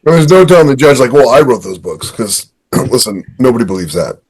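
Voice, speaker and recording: A man's voice: medium pitch, very animated, fairly quick, darkish tone, slightly rough, rough recording. A man co-hosting a casual podcast, speaking emphatically and jokingly acting out bits of quoted dialogue. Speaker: podcast co-host and author. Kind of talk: casual opinionated chat.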